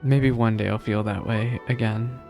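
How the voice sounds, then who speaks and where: A streamer reacts live to a game in a casual, thinking-aloud way, often sounding doubtful. Soft game music runs behind the voice. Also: medium pitch, fairly even intonation, normal speed.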